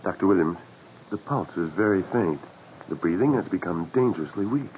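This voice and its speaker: A man's voice: sits low, lively intonation, a little fast, darkish tone. A man acting a grave, respectful and supportive hospital assistant in a 1940s radio drama. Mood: grave, tense. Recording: muffled, noisy 1940s radio recording.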